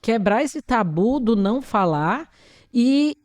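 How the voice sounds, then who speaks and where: A woman's voice: a little high, expressive, slow pace, a little mellow. A woman, an occupational therapist answering interview questions in an earnest, emphatic, explanatory way.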